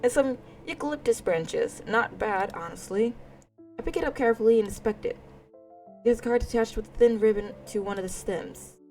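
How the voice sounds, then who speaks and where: A woman's voice: fairly high pitch, moderate pace, a little mellow. Playful woman gamer, faint music behind. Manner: playful, self-deprecating, informal. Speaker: gaming streamer. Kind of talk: casual let's-play commentary, reading a visual novel aloud.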